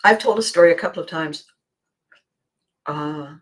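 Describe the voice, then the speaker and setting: Slightly hoarse, low-pitched woman vlogger. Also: normal speed. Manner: expressive, acting out bits of dialogue. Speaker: vlog host. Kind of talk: casual motivational monologue to viewers.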